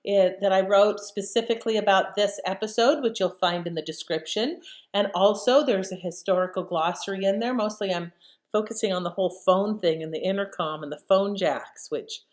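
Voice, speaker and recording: A woman hosting in a casual chat style, informal and wry, thinking aloud with hesitations. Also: medium pitch. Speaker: host commenting on old radio shows.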